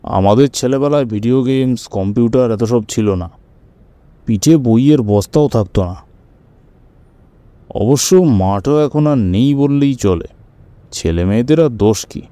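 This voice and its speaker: Radio drama: earnest, chatty male hobbyist, proud of his kites, very soft dark tone man acting. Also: medium pitch, expressive, a little slow, long pauses, dry recording, lightly acted. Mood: nostalgic. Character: Fatikbabu, keen kite-flying man, new tenant, earnest and proud. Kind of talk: radio audio-story acting, conversational storytelling.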